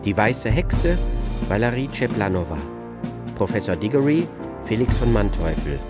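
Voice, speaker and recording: Male radio announcer with animated intonation. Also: on the low side, normal speed. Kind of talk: scripted title and credits reading. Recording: music under the voice.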